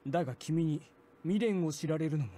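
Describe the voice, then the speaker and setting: A man's voice: a little high, rapid-fire, dark tone, slight background noise, close to an everyday voice. A man playing a stern, cold, menacing character with clipped, formal, commanding speech in a Japanese visual novel. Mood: guarded, calculating.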